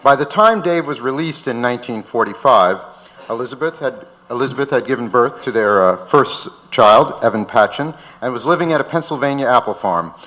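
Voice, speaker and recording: A man's voice: mid-range voice, lively intonation, brisk, fairly bright tone, low-quality recording. A man narrating from a script aloud as the host of a live event.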